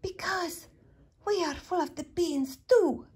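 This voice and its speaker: A woman voicing a tiny, excitable male Chihuahua in a squeaky voice while reading a storybook aloud. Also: takes it slowly. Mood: eager.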